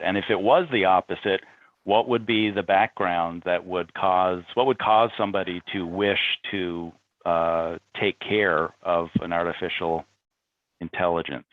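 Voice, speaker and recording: Deep guy on a video call panel, muffled and dry, explaining his writing reflectively. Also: dry recording. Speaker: playwright guest.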